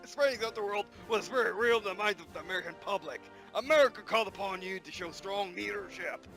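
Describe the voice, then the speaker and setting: A grave American president delivers a slow, solemn farewell address, as a man puts the voice on. The voice sits fairly high for a man but is pushed lower and quieter than his everyday talk, with game music playing clearly behind.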